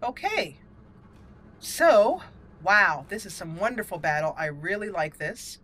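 Bright-voiced woman doing game commentary. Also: mid-range voice, unhurried. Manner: chatty, expressive, talks to game characters. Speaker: gaming channel host. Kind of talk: casual let's play commentary. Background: game music.

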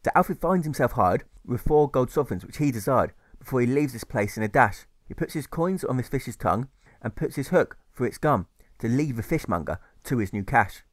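A man narrating a rhyming audiobook in a measured, rhythmic manner that stresses the rhymes. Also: medium pitch, animated, no room sound. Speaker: author reading his audiobook.

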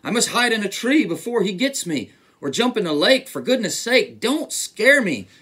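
A man's voice: a little high, very animated, moderate pace, breathy edge, full character voice. A man voicing a big, timid, sorrowful male elephant in a rhyming storybook, with dramatic exclamatory delivery. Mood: panicked. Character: huge timid sorrowful elephant, male, easily frightened and tickled.

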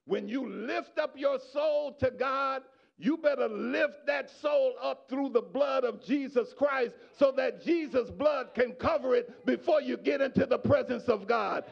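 A middle-aged man preaching a sermon in a church, on a handheld microphone, swinging from shouted exhortation to quiet asides to the congregation. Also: extremely high pitch, measured pace, creaky, few pauses, breathy edge, highs cut off. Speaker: pastor. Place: church sanctuary.

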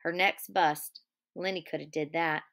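A woman voicing a steady, quietly firm ranch man who speaks in an even, measured way. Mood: calm. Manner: even, low-key and measured.

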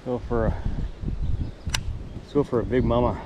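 A man's voice: mid-range voice, steady tone, moderate pace, lo-fi sound, noisy background. A man in his thirties talking casually in a fishing vlog, laid-back and full of filler uhs, first at a riverbank, later in a parked car. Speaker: fishing vlogger.

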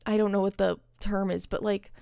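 A woman's voice: medium pitch, level tone, normal speed, creaky, warm tone, smooth, no room sound, studio quality. A young adult woman giving casual, opinionated commentary as a gaming streamer, speaking earnestly and emphatically with some swearing. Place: indoor streaming setup.

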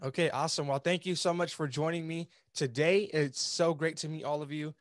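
A woman's voice: deep voice, fairly even intonation, a little fast, no room sound, clean studio sound. A woman speaking encouragingly and politely as she hosts a casual group interview over a video call. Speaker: interviewer host. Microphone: video call microphone.

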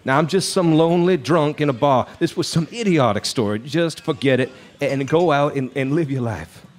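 An actor playing a haunted, world-weary bar singer, brooding and halting, in a live radio drama. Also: male voice, a little high, animated, natural voice. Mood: anguished.

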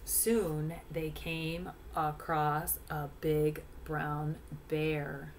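A woman in her forties reading a picture book aloud to young children indoors, pausing to explain words. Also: low voice, little pitch movement, a little hiss or hum. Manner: explains words and rhymes to listeners. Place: small indoor room at home.

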